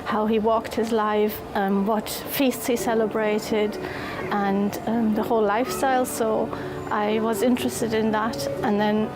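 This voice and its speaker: A woman in her fifties with a German accent speaks in a slightly high voice over clearly audible music. She gives an earnest, reflective testimonial, pausing and repeating phrases as she goes. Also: flat delivery, rough recording. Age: 50s. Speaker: volunteer giving a testimonial. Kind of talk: personal testimonial for a video. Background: clear music under the voice.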